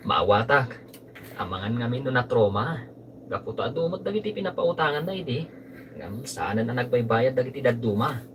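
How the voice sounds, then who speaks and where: A man's voice: medium pitch, very animated, normal speed, distant mic, lightly acted. Respectful, earnest Filipino man for radio drama, played by a male actor. Off-mic live recording, faint noise. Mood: grateful, reassuring. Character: polite, reassuring man in a family drama. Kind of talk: Ilocano radio drama acting.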